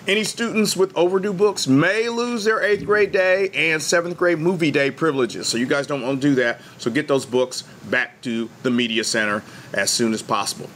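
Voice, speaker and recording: A man's voice: a little high, normal speed, few pauses, a bit bright, slight background noise. A middle-aged man giving upbeat, friendly school video announcements to students from a small school studio. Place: school TV studio, indoors, green screen.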